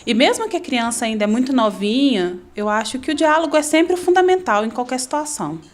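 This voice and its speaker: Mother interviewed, everyday speech, slightly high voice. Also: animated, a little fast. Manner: plain conversational storytelling. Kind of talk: interview testimony.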